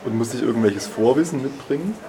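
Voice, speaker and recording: A man's voice: medium pitch, fast talker, crowd noise. A man narrating a radio feature in the first person and interviewing people. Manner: calm first-person storytelling, then interviewing. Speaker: radio reporter.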